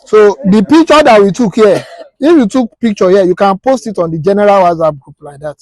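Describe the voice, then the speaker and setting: A man's voice: high voice, a little fast, a little mellow, no room sound, poor audio. A Nigerian man teaching a hands-on practical class as an instructor, explaining step by step and quizzing listeners with rhetorical questions. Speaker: technical instructor.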